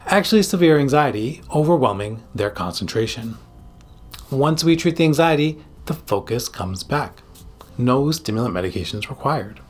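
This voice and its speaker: Man giving a scripted, emphatic explainer. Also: medium pitch. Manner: emphatic, direct address to the listener. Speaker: psychiatrist and video host. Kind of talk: scripted educational explainer to camera.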